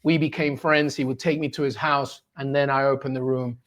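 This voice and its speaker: A man in his forties from Peru, a sports journalist telling his story as an interview guest in an animated, enthusiastic way, quick to laugh. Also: medium pitch. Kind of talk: casual storytelling in a conversation.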